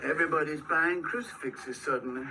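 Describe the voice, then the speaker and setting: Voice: medium pitch, fairly quick, noisy background, natural voice. An actor playing a chatty, curious shopkeeper in a radio drama.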